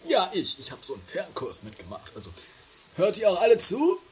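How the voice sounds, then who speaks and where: An actor playing a self-important, grumbling breadwinner with halting, hammy delivery in an amateur comedy radio play. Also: mid-range voice, wildly swooping pitch, bright, forceful tone. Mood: pompous.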